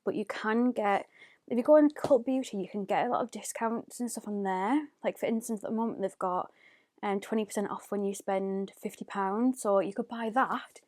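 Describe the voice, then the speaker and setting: A woman, chatting through a makeup tutorial at home, often dropping to a hushed near-whisper. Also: mid-range voice, moderate pace. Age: 20s. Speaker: beauty YouTuber. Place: home, indoors. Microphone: close mic.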